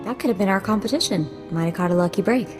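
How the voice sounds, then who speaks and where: A woman's voice: medium pitch, fast, lightly acted. An actress playing a perky, wisecracking female sidekick in a video game, chatty and flippant. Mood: excited. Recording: music under the voice.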